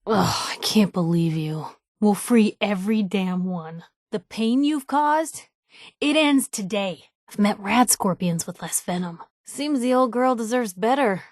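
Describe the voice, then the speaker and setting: A woman's voice: mid-range voice, animated, measured pace, dry recording, clean studio sound. An actress playing a wry, plucky young woman reporter, an outspoken and loyal video game companion with a sardonic, conversational delivery. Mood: exasperated, sharp.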